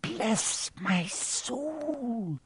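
Brief: male reader voicing a high, quavering old barkeeper, breathless and hushed. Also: big pitch swings, slow, husky, dry recording, exaggerated voice. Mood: tearful awe. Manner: breathless and trembling, drops to whispers.

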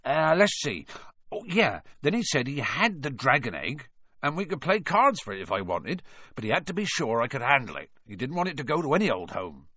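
A man's voice: medium pitch, very animated, brisk, pushed and bright, no room sound, clean studio sound, voice pushed up, full character voice. Man doing a big, rambling, kindly fellow. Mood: chatty. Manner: rambling, blurting, informal. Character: big, kindly, tipsy-rambling man who blurts out secrets. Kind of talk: audiobook character voice.

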